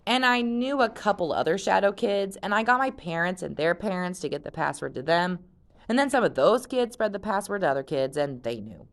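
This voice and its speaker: A woman's voice: mid-range voice, lively intonation, a little fast, close to an everyday voice. A woman reading aloud, voicing a confident, chatty teenage girl who brushes off worries. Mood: breezy. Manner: breezy and dismissive.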